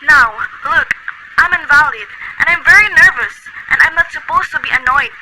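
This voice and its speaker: A woman acting a frantic bedridden lady, very high-pitched, demanding and near tears, noisy recording. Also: normal speed, close to an everyday voice. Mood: indignant. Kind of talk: student radio play reading. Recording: loud background noise.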